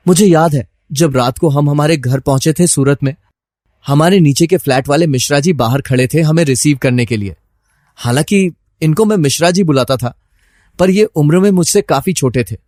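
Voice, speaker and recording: Troubled husband narrating, higher-pitched male actor. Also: moderate pace, a little mellow, dry recording, clean studio sound, close to an everyday voice. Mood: nostalgic. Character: troubled, suspicious husband telling his own story. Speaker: story narrator. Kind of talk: dramatized first-person scripted narration.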